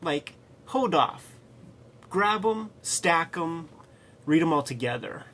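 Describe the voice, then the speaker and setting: A man co-hosting a comics show, chatting casually and excitedly in a slangy, profane, riffing way. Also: on the high side, slow pace, slight background noise. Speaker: co-host of a comics review show.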